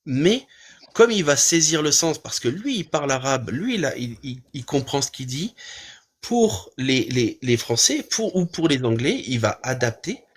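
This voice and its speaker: A man hosting a live stream, calmly arguing a point with many hesitations and restarts. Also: mid-range voice, normal speed, husky. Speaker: livestream host. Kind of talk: casual debate on a live stream.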